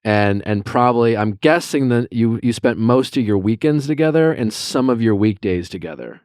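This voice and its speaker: A man's voice: medium pitch, moderate pace, darkish tone, clean tone, dry recording, clean studio sound. A man hosting a podcast who talks callers through their disagreement, summing up each side and steering them straight to the point. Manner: direct, summarizing, steering the talk. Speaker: podcast host. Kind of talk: casual mediation chat.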